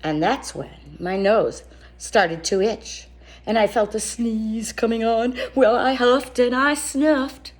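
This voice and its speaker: A woman's voice: fairly high pitch, slow pace. A woman voicing an indignant, sly male wolf who tells his side of a children's story in a chatty, exaggerated, folksy way. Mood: folksy, mock innocent. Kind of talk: animated children's storybook read-aloud.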